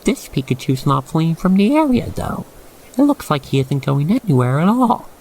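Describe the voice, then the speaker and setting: A man guiding the listener through an ASMR roleplay in expressive, theatrical, wordy storytelling narration. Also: fairly high pitch, normal speed, poor audio.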